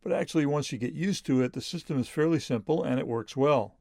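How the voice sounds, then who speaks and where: A man presenting a hands-on product tutorial step by step, pausing mid-sentence as he demonstrates. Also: on the high side, darkish tone. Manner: halting, pauses mid-sentence while demonstrating. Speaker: product reviewer giving a demonstration. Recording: clear background noise, room ambience.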